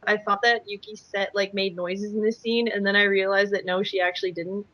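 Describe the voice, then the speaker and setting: A woman's voice: mid-range voice, level tone, a little fast, a bit bright, no echo, muffled recording. A woman voice actress chatting in a bubbly, giggly way on a fan commentary track. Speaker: fandub voice actress. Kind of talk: casual commentary chat.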